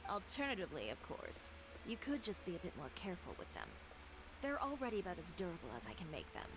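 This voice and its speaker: A woman's voice: fairly low pitch, sing-song, fast talker, background noise. A woman voicing a composed, confident female fashion designer and boutique owner in a studio game.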